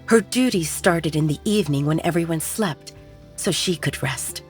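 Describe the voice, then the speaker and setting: A woman's voice: deep voice, expressive, normal speed. A woman reading scripted dramatic narration as the narrator of an audio story. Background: background music.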